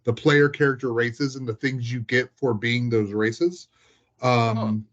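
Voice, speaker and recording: A man with a mid-pitched voice is chatting casually. He rambles informally and drops "like" into his sentences often. The recording is dull and a little lo-fi. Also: steady tone, a little slow, fairly bright tone. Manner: rambling, informal, heavy use of "like". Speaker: hobbyist tabletop game master. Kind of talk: casual chat.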